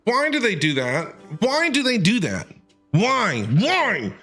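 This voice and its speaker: Bright, high-pitched, animated male streamer. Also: takes it slowly, faint music behind. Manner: expressive, exclamatory. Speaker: game streamer. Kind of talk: reacting to a game live, casual chat.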